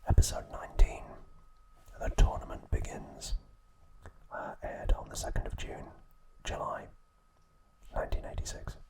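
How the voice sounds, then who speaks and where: A man narrating scripted ASMR in a breathy, unvoiced whisper, with a measured, soothing delivery. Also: a little mellow, poor audio. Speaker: ASMR channel host.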